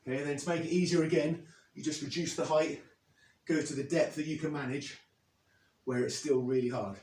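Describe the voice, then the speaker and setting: A man in his thirties, slightly hoarse after losing his voice, explaining and demonstrating leg exercises step by step as a fitness coach. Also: a little high, fairly even intonation, a little fast, a little mellow. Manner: plain, step-by-step coaching while demonstrating moves. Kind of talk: instructional workout demo. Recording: echoey, from a distance.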